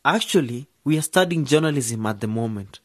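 A man's voice: mid-range voice, lively intonation, a little fast, fairly bright tone, dry recording, studio quality. An actor playing an earnest young male journalism student who runs a community radio station. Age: young adult. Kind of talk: radio drama acting.

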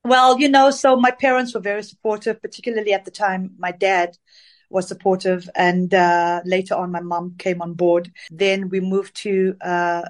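A middle-aged woman from Zambia answers interview questions at a middle pitch. She tells her story in an easygoing, reflective way, with small pauses and fillers as she remembers. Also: little pitch movement. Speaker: interview guest, dance singer. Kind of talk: casual interview conversation.

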